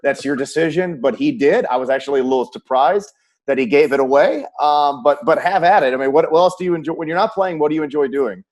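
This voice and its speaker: A man's voice: fairly high pitch, fast, darkish tone. Middle-aged man hosting a sports interview. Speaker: interview host, sports play-by-play announcer.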